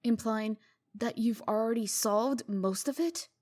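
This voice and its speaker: A woman's voice: fairly high pitch, measured pace. Woman playing a curt princess turned official. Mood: exasperated. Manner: curt, guarded, sharp-tongued. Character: brisk, exasperated fairy-tale princess turned official. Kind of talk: comic dub voice acting.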